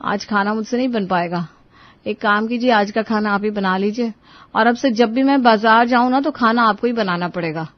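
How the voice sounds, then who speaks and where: A woman's voice: fairly high pitch, normal speed, no room sound, close to an everyday voice. Woman as a plaintive young wife, slightly muffled. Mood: exhausted. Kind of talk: Hindi moral-story cartoon dubbing.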